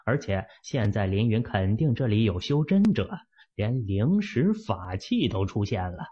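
A man narrating a fantasy novel as an audiobook, in a steady, measured storytelling manner, in a muffled lo-fi recording. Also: lowish voice, breathy edge.

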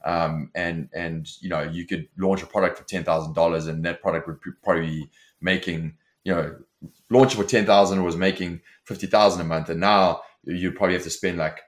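A man's voice: sits low, steady tone, a little fast. A man in his forties with a South African accent, a company founder talking casually as an interview guest over a video call, with many restarts and fillers. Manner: many restarts and fillers, fluent and forward-driving. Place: home office with shelves.

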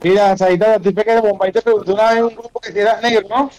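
A man's voice: high voice, fairly even intonation, rapid-fire, darkish tone, muffled recording. A man with a Venezuelan accent chatting casually over a game, slangy and bossy, repeating his instructions to teammates. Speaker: gaming streamer. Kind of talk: casual gaming chat with teammates.

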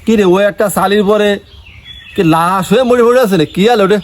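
A man's voice: high voice, a little fast, close to an everyday voice. A man voicing a grumpy, easily annoyed villager in broad comedy, cursing and scolding in a south-western Bangladeshi accent. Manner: scolding, irritable, full of insults.

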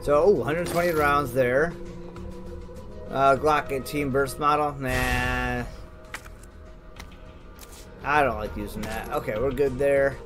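A man's voice: mid-range voice, fairly even intonation, slow pace, long pauses, music in the background. A man giving casual let's play commentary, talking to himself in folksy slang with some mild swearing. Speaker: gamer, video host. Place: indoors, over game audio.

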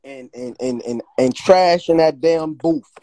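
A young man hosting a phone livestream from a small indoor room, talking in brash, swearing, quick banter. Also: a little high, dark tone. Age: young adult. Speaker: livestream host. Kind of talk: casual livestream chat. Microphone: phone held close to the face.

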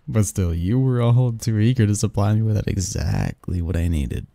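A man playing a snarky young research assistant who fondly teases a friend in a halting, wordy, intimate ASMR roleplay monologue. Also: on the low side, animated, vocal fry, mellow, no room sound. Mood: teasing, fond. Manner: halting, sighing, teasing, wordy.

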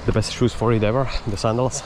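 A man's voice: mid-range voice, fairly even intonation, fast. A man in his forties talking casually to camera while fishing from coastal rocks, chuckling between remarks, recorded on an action camera. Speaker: vlogger, angler. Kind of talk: casual outdoor vlog commentary. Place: outdoors on coastal rocks by the sea. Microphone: action camera on a chest mount and handheld. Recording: outdoor noise from wind and water.

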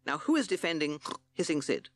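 A man's voice: on the high side, very animated, a little fast, no echo, pitched above the performer's own voice. A man voicing a short-tempered male pig judge in a storybook, blustering, snorting and impatient.

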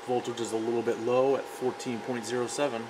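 Male tester, medium pitch, clipped factual readouts, noticeable steady background hum. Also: brisk. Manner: terse, factual. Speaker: product tester. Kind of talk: matter-of-fact test commentary.

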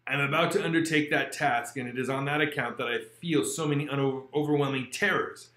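A man's voice: mid-range voice, fairly quick, fairly bright tone, close to an everyday voice. A man voicing a lonely, shunned male creature who pleads in a formal, earnest way. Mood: pleading. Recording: roomy echo.

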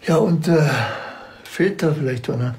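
An actor playing a dry, rambling policeman in a TV crime comedy, deadpan and hesitant. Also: on the high side, normal speed, natural voice. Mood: dry, probing. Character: dry, slow-witted rambling Bavarian policeman.

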